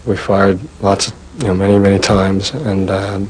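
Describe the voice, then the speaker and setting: A man in his twenties giving courtroom testimony in halting, broken phrases. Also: low-pitched, fairly even intonation, breathy edge, poor audio. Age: 20s.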